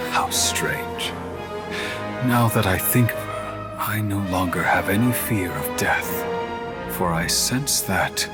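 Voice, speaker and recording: Very deep, solemn dying priest. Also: male voice. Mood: serene, solemn. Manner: grave, measured, reverent. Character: dying ancient desert priest, solemn, devout guardian. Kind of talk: solemn scripted game narration. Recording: loud music under the voice.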